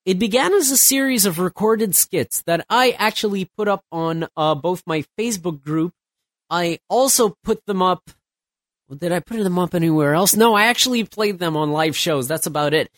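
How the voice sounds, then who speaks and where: A man's voice: high voice, normal speed, a bit bright, no room sound, clean studio sound. A man in his thirties hosting his own podcast alone late at night. He is stuffed up from a cold and rambles loosely, with many restarts and fillers. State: stuffed up, getting over a cold. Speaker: podcast host.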